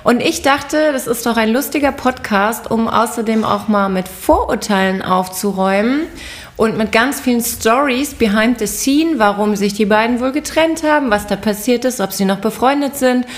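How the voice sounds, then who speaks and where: A middle-aged woman hosting a casual podcast, slightly hoarse from a recent illness, chatty and laughing often. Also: fairly high pitch, moderate pace. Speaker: podcast host.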